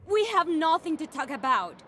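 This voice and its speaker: A woman's voice: sits high, big pitch swings, moderate pace, fairly bright tone, a little hiss or hum. A woman voicing a stern mother who lays down the rules curtly. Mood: cold.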